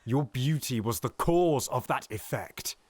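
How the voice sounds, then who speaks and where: A man playing a scheming, silver-tongued nobleman in a Shakespearean audio drama, theatrical and ranging between hushed pleading and loud exultation. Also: mid-range voice, animated, a little slow, slightly rough, no room sound. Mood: ardent pleading.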